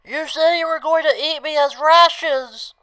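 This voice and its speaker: A woman's voice: sits high, steady tone, unhurried, pushed and bright, low-quality recording. A woman playing a small, frightened talking rabbit in an audio drama, pleading politely and hesitantly. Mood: angry, scared.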